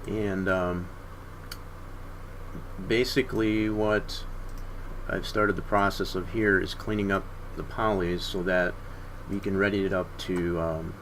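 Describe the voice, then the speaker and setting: A man streaming his 3D modeling work, talking through each step in an unhurried way with many fillers, hoarse and often clearing his throat. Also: low voice, little pitch movement, fairly bright tone, slight background noise, poor audio. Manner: unhurried, filler-heavy thinking aloud. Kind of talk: casual screen-share tutorial.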